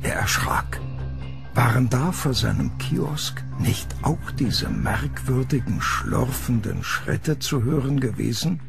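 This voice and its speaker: A man narrating a scripted horror story in a measured, suspenseful way. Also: medium pitch, lively intonation, vocal fry. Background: music.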